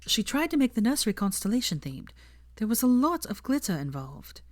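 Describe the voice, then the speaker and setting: A woman's voice: fairly high pitch, sing-song, fairly quick, darkish tone, voice pushed up. A woman voicing a prim, articulate young woman. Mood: chatty. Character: young adult pregnant woman, earnest and prim.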